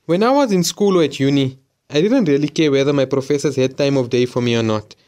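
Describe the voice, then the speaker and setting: A man's voice: mid-range voice, fast talker, darkish tone, close to an everyday voice. A young man playing a remorseful madrasa student who speaks sheepishly and apologetically. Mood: hurt. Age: young adult. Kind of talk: audio drama acting.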